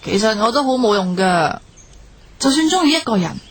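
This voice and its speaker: A dreamy young grad in a radio play, highish voice, faint ambient noise. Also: female voice, very animated, normal speed. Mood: wistful. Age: young adult. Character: studious, dreamy young female graduate among friends. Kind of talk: Cantonese radio drama acting.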